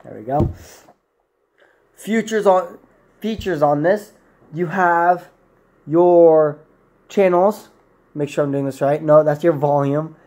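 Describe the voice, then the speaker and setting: A man's voice: fairly high pitch, slow pace, pauses often, mellow. A man in his twenties giving a casual, unscripted product review to camera in a small room, rambling and correcting himself as he goes. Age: 20s. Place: small plain room indoors.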